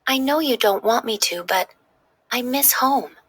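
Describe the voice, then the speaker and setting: A woman's voice: a little high, a little slow, a bit bright, slightly rough, no echo. A woman voicing an upset, homesick young girl, earnest and emotional, in a children's story. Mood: tearful.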